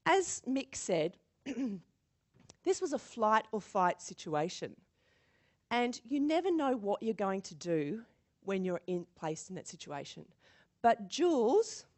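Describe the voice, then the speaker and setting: A woman giving a motivational keynote speech as an animated storyteller who acts out quotes and laughs often. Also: medium pitch. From born in Singapore. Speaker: keynote speaker.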